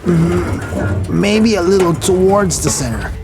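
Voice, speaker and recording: An actor playing a grim but tender space crew member in a sci-fi horror audio drama, speaking in sparse, subdued phrases. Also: male voice, high voice, lively intonation, slow, music in the background, full character voice.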